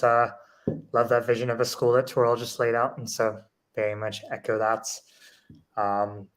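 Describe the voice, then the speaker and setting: A man with a medium-pitched voice answers as a panelist on education. He builds structured points in a measured way, restating key phrases for emphasis.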